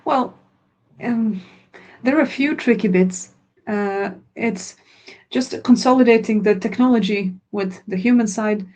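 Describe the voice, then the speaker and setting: A woman's voice: a little high, a little slow, a little mellow. Earnest woman, native Croatian speaker. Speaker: language teacher and product founder. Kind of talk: webinar Q&A answers.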